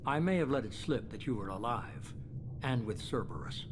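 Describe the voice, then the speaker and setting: A man playing a composed, calculating mastermind with a measured, authoritative manner in a video game drama. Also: medium pitch, lively intonation, background noise, natural voice. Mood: calculating, unapologetic. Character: calculating, composed male mastermind of shadowy organization.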